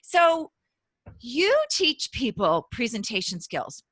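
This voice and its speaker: A woman hosts a chatty podcast interview, her voice bright and forceful. She laughs often and trips over her own sentences as she goes. The sound is dry and close, with no room in it. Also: fairly high pitch, big pitch swings, slow, slightly rough, no echo. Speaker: podcast host.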